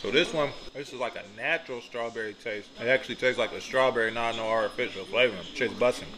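A young man hosts a laid-back food tasting vlog in a small restaurant, hyped and full of slang. His voice sits in an ordinary middle register, filmed on a phone at arm's length. A clear hum of diners chatting fills the background. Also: mid-range voice, quick pace, a crowd in the background, poor audio. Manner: hyped slang-heavy banter. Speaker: vlog host. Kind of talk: casual food review vlog. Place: small restaurant dining room.

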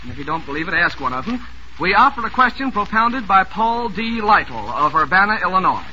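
A man's voice: high voice, sing-song, pushed and bright, dull audio. Genial man hosting an old radio quiz. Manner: genial, joking, brisk announcer patter. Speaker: quiz show host. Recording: noisy old radio broadcast recording.

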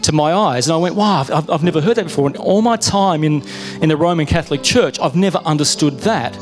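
A man's voice: on the high side, quick pace, faint music behind. A middle-aged man from Australia with an Australian accent, sharing a personal testimony on a church stage in a chatty, storytelling way that is full of restarts, speaking into a handheld mic. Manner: conversational storytelling with self-interruptions. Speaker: guest speaker.

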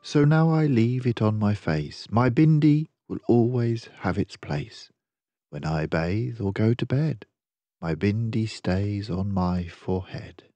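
An older man reading a children's picture book aloud in an expressive, measured, deliberate way. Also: fairly low pitch, no echo, dull audio. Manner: measured, deliberate, rhyming delivery.

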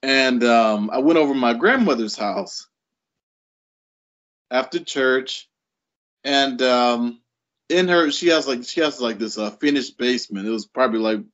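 A man in his late thirties, a guest on a podcast, telling stories with animated energy and chuckling between thoughts. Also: medium pitch, a little slow, pauses often. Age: late 30s. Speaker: guest writer. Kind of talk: casual interview storytelling. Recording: dull lo-fi audio.

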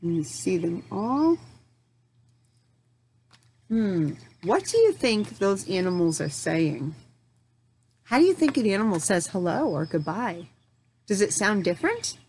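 Slow-paced woman reading to young children. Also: fairly low pitch. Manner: simple, deliberate phrasing addressed to small children. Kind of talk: children's read-aloud with questions to young listeners. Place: home room in front of a large window. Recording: steady noticeable background noise.

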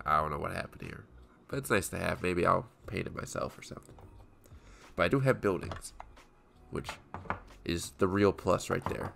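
A young man talks in a very low, gravelly voice, his nose clearly stuffed up. He rambles offhandedly and keeps correcting himself mid-thought, like someone chatting while his hands are busy at a desk. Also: bright, forceful tone. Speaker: toy photography YouTuber. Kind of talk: casual rambling commentary while working. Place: home desk with small photo set.

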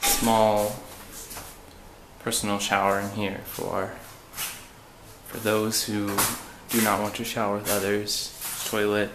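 A young man giving a casual, halting, understated walk-through tour on a handheld camera. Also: completely flat delivery, background noise. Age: young adult. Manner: low-key, halting, understated. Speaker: exchange student giving a tour. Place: indoors in a student dormitory, walking through rooms.

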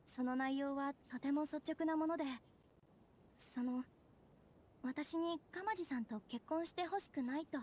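An actress playing a polite, gentle young noblewoman in a video game, speaking formally and humbly. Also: high voice, unhurried. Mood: troubled, hesitant. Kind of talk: Japanese video game voice acting. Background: background music.

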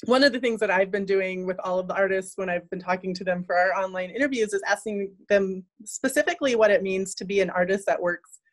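A woman in her forties moderating an online talk from an office, reading out questions with some fillers. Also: medium pitch. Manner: measured, reads questions aloud with fillers. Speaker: interviewer, art center host. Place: office with bookshelves. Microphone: laptop webcam, video call.

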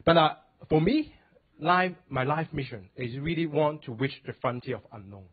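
A man's voice: a little high, lively intonation, normal speed, slightly rough. A young man from Hong Kong with a Hong Kong English accent, giving a hesitant conference talk at a podium with many fillers. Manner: hesitant, many fillers, non-native phrasing. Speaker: polar expedition leader and glaciologist. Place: indoor wood-paneled hall, at a podium. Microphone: handheld mic. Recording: tinny phone-like sound.

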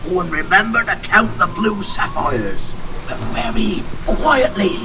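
An actor playing a jolly, boisterous pirate captain with hearty pirate bluster, heard through ride speakers from afar over music. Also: male voice, sits high, animated, a little slow, recorded from a distance, background noise.

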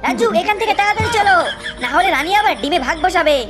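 Childish cartoon panda, woman's voice, high, whiny, stubborn, playful, music underneath. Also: expressive, fast. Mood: whiny, insistent. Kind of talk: cartoon dubbing.

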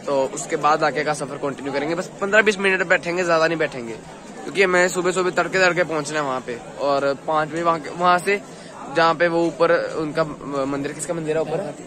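A young man with a hoarse throat films himself on a phone at arm's length and talks straight to his viewers. His voice sits a little high and sounds chatty and excited. Crowd chatter carries in the background. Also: fairly quick. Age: young adult. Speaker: travel vlogger. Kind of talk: casual vlog to camera. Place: outdoors at night on a crowded pilgrimage route, and in a busy eatery. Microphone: phone held at arm's length.

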